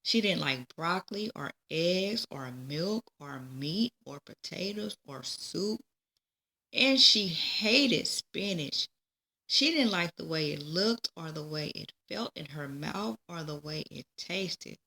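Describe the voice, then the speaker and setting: A woman narrates a children's read-aloud book at a slow, deliberate pace. Her voice is bright and forward with a noticeable creak, and her phrasing is expressive. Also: on the low side, rough recording. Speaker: storybook reader.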